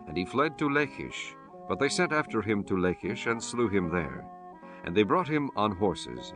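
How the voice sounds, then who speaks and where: A man reading scripture as an audiobook narrator in a solemn, measured, formal manner. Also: on the low side.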